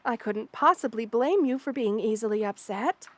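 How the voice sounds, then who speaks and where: Gentle, high-voiced motherly spider queen played by a woman. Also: animated, no echo, natural voice. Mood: gentle, apologetic. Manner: gentle, soothing, hesitant pauses, formal wording. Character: kind, motherly spider queen monster woman, tender and protective. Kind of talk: ASMR roleplay, intimate one-sided dialogue to the listener.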